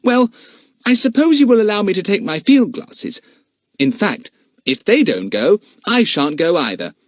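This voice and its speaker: A man's voice: high voice, very animated, unhurried, no echo, studio quality, pitched above the performer's own voice. A male narrator voicing an emphatic, hot-tempered schoolboy. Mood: sulky. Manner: indignant, emphatic.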